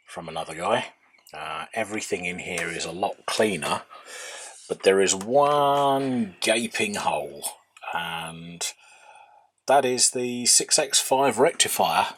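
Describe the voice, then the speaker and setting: A man's voice: sits low, fairly bright tone, a little hiss or hum. A man giving an unscripted, hands-on workbench narration as a hobbyist, hesitantly thinking aloud. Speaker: hobbyist radio restorer.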